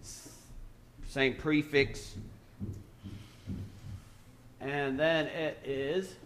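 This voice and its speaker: A man's voice: fairly high pitch, level tone, extremely slow, fairly bright tone, a little hiss or hum. A man preaching an informal Bible teaching sermon in a church, conversational and hesitant, thinking aloud with many fillers.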